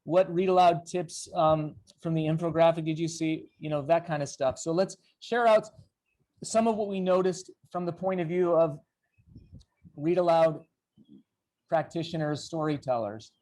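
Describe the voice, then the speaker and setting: A man's voice: fairly high pitch, normal speed, mellow. A man facilitating a training discussion on an online video call, prompting participants to share. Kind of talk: leading a group discussion.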